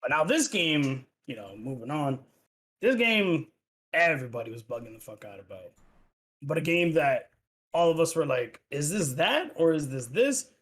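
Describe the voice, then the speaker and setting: Podcast host, man, animated pitch, slightly higher voice, loose profane chat. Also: moderate pace, breathy edge. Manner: loose and profane, lots of fillers and restarts. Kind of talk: casual gaming podcast chat.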